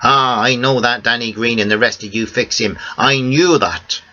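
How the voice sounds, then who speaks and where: A man voicing an old cafe owner, friendly and excitable, speaking broken English with lots of "ah" and "eh". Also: medium pitch, normal speed, close to an everyday voice. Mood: eager. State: a bit out of breath. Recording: dull lo-fi recording.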